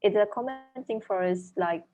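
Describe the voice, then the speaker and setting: A woman with a Malaysian accent, a native Chinese speaker, answering interview questions as an author in a thoughtful, measured way, searching for words. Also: mid-range voice, mellow. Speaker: author and dentist guest.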